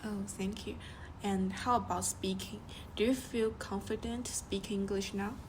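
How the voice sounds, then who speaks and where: A woman's voice: mid-range voice, steady tone, rough recording. A woman interviewer in a mid register who asks prepared questions politely, now and then stumbling over her words. A faint noise sits behind her voice. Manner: polite, slightly halting. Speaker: interviewer, student.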